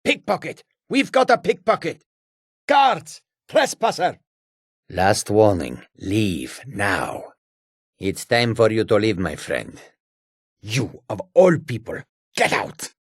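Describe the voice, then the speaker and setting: An actor playing a sly, menacing, proud humanoid cat warrior in a fantasy video game, with a husky, raspy voice and deliberate delivery. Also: male voice, on the low side, wildly swooping pitch, no room sound, clean studio sound, full character voice. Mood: alarmed, shouting, then wary, stern. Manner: slow, deliberate, often speaks of himself in third person.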